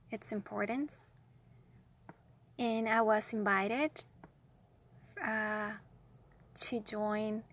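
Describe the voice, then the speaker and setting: Woman, guest podcaster, bright, slightly high voice, halting and unhurried delivery. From Peru. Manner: hesitant, many pauses and "uh", laughs often. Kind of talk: casual interview chat.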